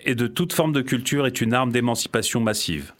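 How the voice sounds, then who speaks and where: A man's voice: mid-range voice, fairly quick. A man from the Paris region, co-hosting a radio show; he reads a short portrait, then banters and teases with a wry tone. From Paris region, France. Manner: teasing, wry, laughs at his own lines. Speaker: radio co-host.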